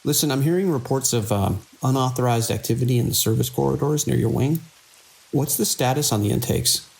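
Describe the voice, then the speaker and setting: An actor playing a weary but measured hospital director in an audio drama, speaking with authority as he reasons things through. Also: medium pitch, gravelly, a little mellow, slight background noise, lightly acted, low-quality recording. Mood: concerned. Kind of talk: radio drama acting.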